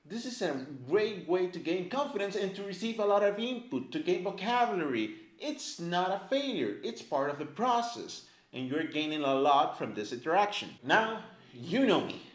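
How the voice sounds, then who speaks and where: A man's voice: high-pitched, moderate pace. A man teaching a language in a casual, chatty way to camera, filling his talk with "right?" and "like". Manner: animated, chatty, many fillers like "right?" and "like". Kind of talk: casual explanatory lesson to camera. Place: indoor room, filmed by a camera operator. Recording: echoey room.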